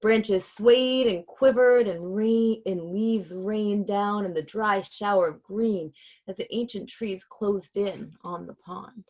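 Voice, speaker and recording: A woman reading a storybook aloud in an expressive narrating style. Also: medium pitch, takes it slowly, low-quality recording. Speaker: story reader.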